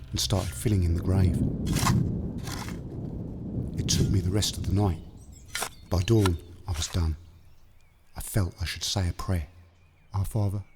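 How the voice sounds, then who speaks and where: A man's voice: deep voice, noisy background. A man with a British accent narrating a scripted horror story in the first person.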